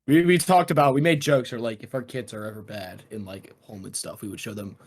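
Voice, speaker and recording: A man's voice: medium pitch, steady tone, brisk, husky. A young man co-hosting a casual podcast who jokes around and animatedly retells scenes. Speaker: podcast co-host. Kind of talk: casual chat.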